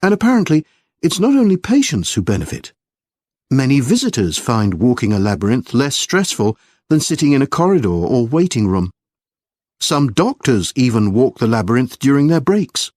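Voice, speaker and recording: A man delivering a scripted academic lecture in a measured, clearly enunciated way. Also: mid-range voice, big pitch swings, a little mellow, no echo, studio quality. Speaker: lecturer reading an exam listening passage.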